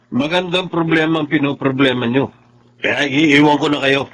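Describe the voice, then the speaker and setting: A brash young Filipino man, as an actor plays him in a radio drama, free with money and quick to boast. His voice sits fairly high, with a light noisy bed of effects behind it. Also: steady tone, fairly bright tone, natural voice. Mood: breezy, defensive. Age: young adult. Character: cocky, free-spending young breadwinner son, generous and impatient. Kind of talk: Tagalog radio drama acting.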